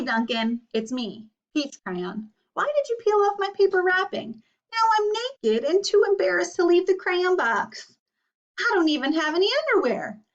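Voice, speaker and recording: A woman's voice: very high voice, far from the mic, highs cut off, voice pushed up, full character voice. A woman voicing an embarrassed, panicky storybook crayon, pleading melodramatically. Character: embarrassed, panicky peach crayon stripped of wrapper.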